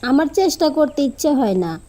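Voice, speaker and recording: A woman's voice: sits high, big pitch swings, moderate pace, mellow. A woman playing an earnest, troubled teenage village girl in a cartoon, speaking careful, polite standard Bengali. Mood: plain, earnest.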